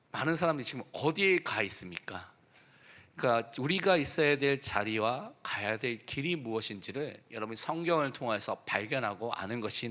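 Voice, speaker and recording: Hoarse pastor preaching with bright force. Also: male voice, mid-range voice. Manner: exhorting, emphatic. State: hoarse from a throat cold. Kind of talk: church sermon. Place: church service.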